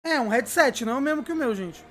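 A man's voice: very high voice, animated, fairly bright tone. A man hosting a casual gaming livestream, bantering playfully with his co-host and chat. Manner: playful, bantering, quick to laugh. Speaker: streamer host.

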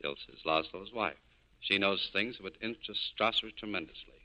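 An actor playing a cool, cynical nightclub owner in clipped, hard-boiled 1940s radio style. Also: male voice, deep voice, moderate pace, pushed and bright, dull audio. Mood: cool, firm. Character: tough, cool cynical male nightclub owner scheming an escape. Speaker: lead actor in a radio drama.

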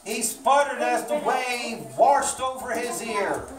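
Woman reading a kids puppet show story, slow, roomy echo, noise behind. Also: a little high, lively intonation. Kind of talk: storybook narration for children. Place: indoor church or hall room with puppet stage. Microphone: camera at a distance. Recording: roomy, distant mic, clear background noise.

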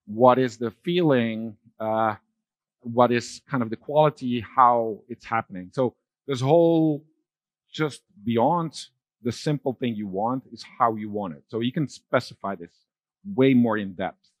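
A middle-aged man giving a conference keynote on a large dark stage, talking loosely with fillers and restarts. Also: mid-range voice, measured pace, no room sound. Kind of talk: keynote talk with slides.

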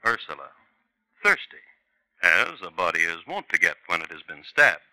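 Man, wry storyteller, low voice with swinging pitch, 1950s radio narrator with music bed. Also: sing-song, normal speed, pushed and bright, no room sound, poor audio. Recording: old radio broadcast with music.

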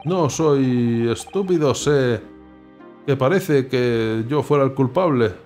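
A man's voice: medium pitch, slow, a little mellow, soft background music, natural voice. A man reading the lines of an earnest young male student abroad who feels wronged, in an indignant tone.

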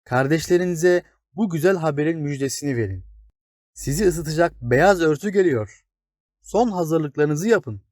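A man's voice: on the high side, expressive, normal speed, a little mellow, dry recording, studio quality, close to an everyday voice. Man voicing a wise, patient old plane tree. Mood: gentle, encouraging. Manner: explains patiently, exclaims and addresses small listeners. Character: old, wise, towering plane tree, kindly but grave. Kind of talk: children's storybook character reading.

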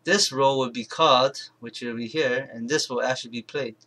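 A man presenting an informal software tutorial, thinking aloud in a halting, rambling way and correcting himself as he goes. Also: medium pitch, steady tone. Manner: halting, rambling, self-correcting, mumbled asides. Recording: clear background noise, keyboard and mouse clicks.

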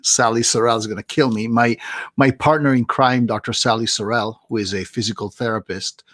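A middle-aged man with an Italian accent, presenting on a webinar in a chatty, animated way with many fillers and restarts, speaking over a video call from a home office. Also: mid-range voice, a little fast, dry recording, studio quality. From Italy. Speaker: guest doctor presenting a webinar. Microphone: video call with desk mic.

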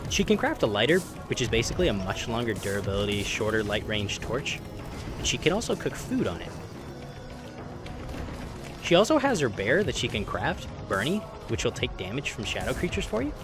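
A man's voice: medium pitch, very animated, a little fast. A man narrating a game explainer voice-over in an informal, opinionated, emphatic way, with casual asides. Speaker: gaming video narrator. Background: background music.

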